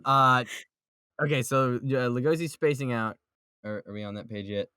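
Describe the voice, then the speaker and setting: A man's voice: medium pitch, normal speed, pushed and bright, clean tone, dry recording, clean studio sound. A man chatting casually and playfully as a podcast co-host on an online voice call. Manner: playful, jokey. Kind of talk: casual chat about manga.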